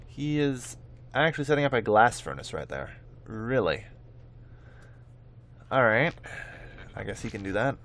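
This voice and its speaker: A man's voice: mid-range voice, sing-song, a little slow, long pauses, a bit bright. A man giving casual gameplay commentary, thinking aloud in a rambling way and often correcting himself. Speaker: host of a gameplay video.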